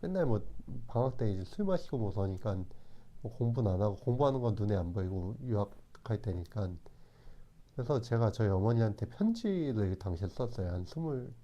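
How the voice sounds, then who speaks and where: A man in his forties speaks in a low, very dark tone. He talks calmly and reflectively, pausing often and restarting his sentences as he thinks. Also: muffled recording. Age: 40s. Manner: calm, hesitant, with many restarts. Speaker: channel host. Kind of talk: reflective talk to camera.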